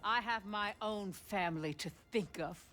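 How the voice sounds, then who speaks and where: Slow, imperious older villainess, acted by a woman. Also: medium pitch, a bit bright, slight background noise, natural voice. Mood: icy, smug. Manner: haughty, deliberate menace. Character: cold, imperious, ruthless older grandmother villain. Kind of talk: video game cutscene acting. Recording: game music behind the voice.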